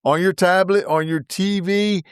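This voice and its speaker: A man hosting a podcast interview, easygoing and quick to laugh as he tells anecdotes. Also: high voice, steady tone, clear, resonant tone, no room sound, studio quality. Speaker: podcast host. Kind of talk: casual interview chat.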